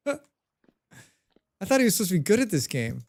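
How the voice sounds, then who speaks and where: A man's voice: sits high, sing-song, measured pace, creaky, darkish tone. A man with a head cold chatting casually while live-streaming a game, humming to himself and answering his chat.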